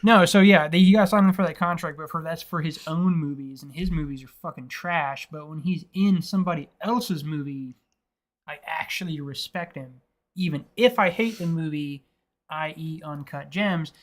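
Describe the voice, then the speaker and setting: A man's voice: fairly high pitch, normal speed. Rambling, profane man on a podcast. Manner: profane, rambling, laughs often. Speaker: podcast co-host. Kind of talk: casual film chat.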